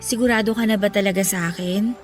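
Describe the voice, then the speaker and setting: A woman playing a caring, level-headed young girlfriend in a Filipino radio romance, gentle and reassuring. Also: mid-range voice, steady tone, quick pace, soft background music, natural voice. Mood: sincere. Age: young adult. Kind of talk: Tagalog radio romance drama acting.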